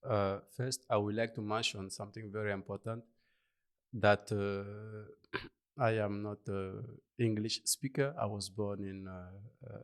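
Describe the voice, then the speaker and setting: A man from Ivory Coast with an Ivorian French accent, a native Bambara speaker, answering interview questions in halting English and searching for words. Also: on the low side, steady tone, clear, resonant tone. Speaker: interview guest. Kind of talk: conversational interview.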